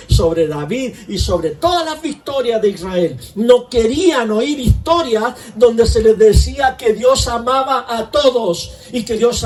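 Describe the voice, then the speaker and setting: High-voiced pastor preaching from afar. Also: male voice, distant mic. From Chile. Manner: conversational preaching, asks rhetorical questions. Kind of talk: sermon.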